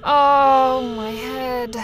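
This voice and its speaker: A woman's voice: high-pitched, steady tone, very slow, noisy background. A woman voicing an irritable alien, one head of a two-headed worker, scolding in an audio drama. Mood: groggy. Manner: scolding, exasperated.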